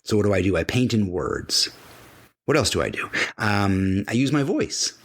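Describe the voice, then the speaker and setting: A man's voice: on the low side, expressive, normal speed, husky. A middle-aged man hosting a podcast, giving an emphatic motivational talk that repeats phrases for weight and acts out the voices of imagined people. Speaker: podcast host. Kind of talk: motivational monologue.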